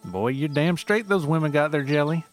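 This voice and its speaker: A man's voice: a little high, lively intonation, normal speed, faint music behind, voice pushed up, natural voice. A man voicing a proud, wary male ginseng forager from Appalachia, with a folksy backwoods drawl, in a narrated story. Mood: folksy, deadpan.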